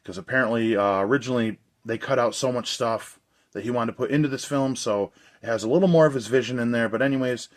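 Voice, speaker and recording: A young man with a voice worn a little hoarse, talking in a loose, rambling way. He sounds enthusiastic and casual, reviewing a movie release for his regular channel. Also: medium pitch, quick pace. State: slightly hoarse.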